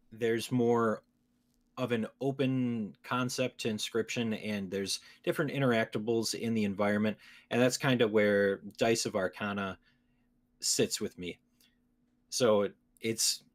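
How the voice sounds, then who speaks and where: A man talking casually about video games as a podcast co-host. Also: mid-range voice.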